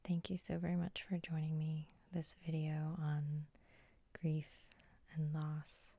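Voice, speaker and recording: A woman leads a guided sleep hypnosis in a soft, husky whisper. Her voice is dark and mellow with gentle, unhurried pacing. The sound is very dry and intimate, as ASMR. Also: low voice, monotone, no echo. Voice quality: breathy, whispery. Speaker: hypnotist and host.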